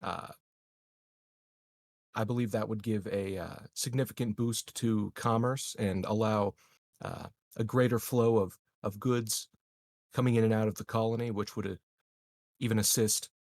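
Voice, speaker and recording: A man playing a tabletop roleplaying game, speaking in character in a formal, measured, deadpan way. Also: fairly low pitch, gravelly, dry recording, studio quality.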